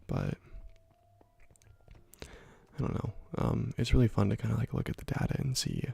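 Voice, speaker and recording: A man hosting an ASMR gameplay video, talking softly into a close microphone, rambling with many fillers and slipping into whispers. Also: lowish voice, huge pitch swings, normal speed, creaky, slightly rough, no room sound, faint music behind. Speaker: ASMR gaming channel host. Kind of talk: soft-spoken ASMR gameplay commentary.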